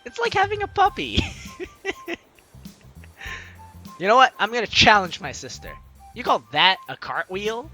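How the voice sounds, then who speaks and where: A man's voice: high-pitched, wildly swooping pitch, a little slow, pauses often, bright, forceful tone. A young man playfully reading a visual novel aloud and commenting on it as a let's play host, with game music behind him. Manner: playful, theatrical, jokey reactions.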